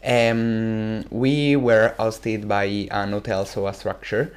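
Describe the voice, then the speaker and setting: A man's voice: on the low side, little pitch movement, slow, smooth. A seventeen-year-old man with an Italian accent, answering casually in an interview and hesitantly searching for words. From Italy. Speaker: exchange student guest.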